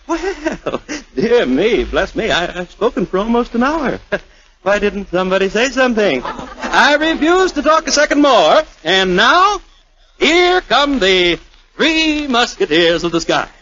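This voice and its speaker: An actor playing a pompous, long-winded, easily flustered gentleman in 1940s radio comedy-drama style. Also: high-pitched, big pitch swings, slow pace, full character voice. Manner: pompous, dithering speechmaker. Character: fussy, flustered, long-winded older gentleman hosting a rally. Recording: muffled old radio recording with music.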